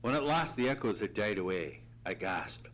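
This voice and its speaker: A man's voice: fairly low pitch, lively intonation, normal speed, fairly bright tone. A man playing an earnest, easily horrified doctor narrating an old-time radio mystery in formal, theatrical style. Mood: grave, horrified. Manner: theatrical, suspenseful, formal storytelling. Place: theatre stage, live radio play.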